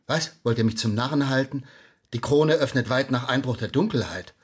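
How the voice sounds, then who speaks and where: Actor as a stern magistrate with a husky voice. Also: male voice, mid-range voice, brisk, natural voice. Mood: accusing. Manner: probing, accusatory, formal. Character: stern, suspicious male magistrate interrogating suspects. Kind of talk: German radio drama acting in period speech.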